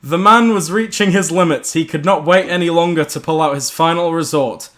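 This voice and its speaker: A man's voice: fairly high pitch, a little fast, fairly bright tone. A young man reading a silly horror story to friends, giggling and stumbling over the words as storyteller.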